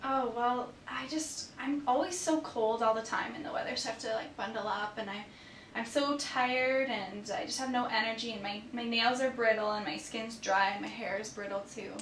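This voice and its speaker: A woman's voice: steady tone, normal speed, a bit bright. A young woman playing a patient, answering questions in a casual conversational way. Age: young adult. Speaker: patient in a clinical skills demonstration. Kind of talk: casual role-play answers. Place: small clinic exam room, indoors. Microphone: far camera microphone. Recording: echoey room, distant mic, faint rumble.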